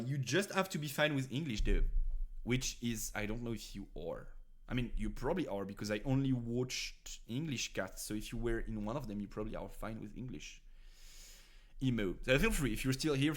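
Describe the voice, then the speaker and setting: French-accented man chatting on a stream. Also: mid-range voice. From France. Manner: rambling, many fillers and restarts, chatting to viewers. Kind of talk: casual live-stream talk about card game decks.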